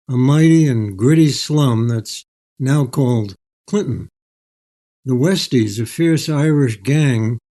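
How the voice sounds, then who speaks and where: An older man speaking as an author, reflective and articulate, with measured, literary phrasing. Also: medium pitch, dark tone. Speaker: author, interview guest. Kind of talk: reflective storytelling, reading and interview.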